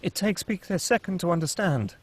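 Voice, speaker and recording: A man with a fairly high voice narrates a screenplay. He reads action lines and scene headings as scripted storytelling. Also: lively intonation, fairly quick. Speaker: stage-direction narrator.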